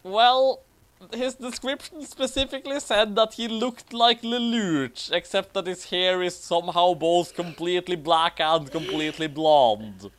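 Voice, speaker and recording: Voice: medium pitch, unhurried, a bit bright. A person reading a story aloud for comedy and chatting casually about it, often going back over flubbed lines. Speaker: fan fiction reader and commentator.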